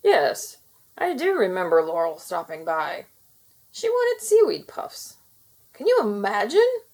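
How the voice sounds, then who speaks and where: A woman's voice: medium pitch, wildly swooping pitch, a little slow, darkish tone, exaggerated voice. A woman voicing a friendly, easily amused male village baker in a storybook, musing and exclaiming.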